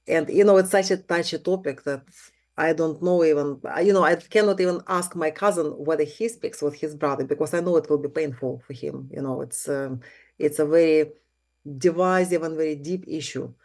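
A woman's voice: low-pitched, moderate pace, darkish tone. A middle-aged woman from Ukraine, a native Russian speaker with a Ukrainian accent, speaking earnestly and reflectively as an interview guest. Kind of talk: conversational interview.

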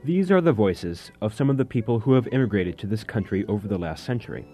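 A man reading a scripted documentary narration, calm and formal. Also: fairly low pitch, fast talker, soft background music.

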